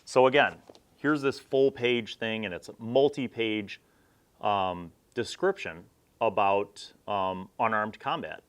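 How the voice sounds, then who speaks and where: An American man from Chicago hosting a retro gaming review, talking casually and haltingly as he thinks aloud. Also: lowish voice. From Chicago, United States. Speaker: host of a retro gaming magazine review series. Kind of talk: casual rambling review to camera, leafing through a magazine.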